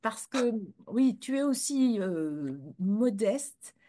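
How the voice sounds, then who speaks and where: A woman's voice: on the high side, expressive, extremely slow, mellow. A woman hosting an interview in a friendly, chatty way, with many fillers. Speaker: host.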